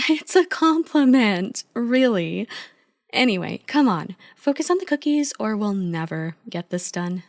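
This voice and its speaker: A woman's voice: fairly high pitch, lively intonation, a little slow, no room sound, studio quality. A woman playing an affectionate, playful girlfriend in an ASMR roleplay, chatty, teasing and supportive as an eager but clumsy baker. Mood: teasing, fond.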